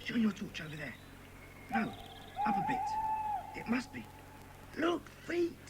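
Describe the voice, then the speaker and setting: A very animated schoolboy acted by a woman, excitable, kindly and a touch nervous. The speech is exclamatory and swings widely in pitch, in a children's audio drama with clear background noise. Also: slow pace, heavily acted. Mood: startled, excited. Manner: expressive, exclamatory.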